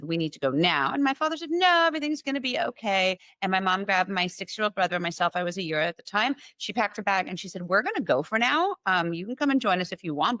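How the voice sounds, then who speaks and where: A woman's voice: on the low side, sharp tone, no room sound. A middle-aged woman from Iran, raised in Los Angeles, telling her family story as an interview guest, earnest and flowing with many fillers and restarts.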